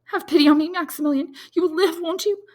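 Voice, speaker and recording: A dutiful young heroine of a classic novel as a woman narrator acts her out, pitched high and full of emotion. She implores and pleads like someone torn between love and obedience. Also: fairly even intonation, fast, a little mellow. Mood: anguished pleading. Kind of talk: dramatic audiobook character reading.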